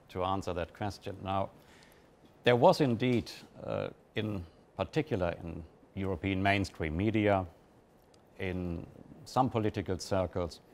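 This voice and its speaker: A man from Germany, giving measured, deliberate political analysis on a live news panel. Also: lowish voice, a little mellow. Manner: measured, deliberate, with emphatic rises.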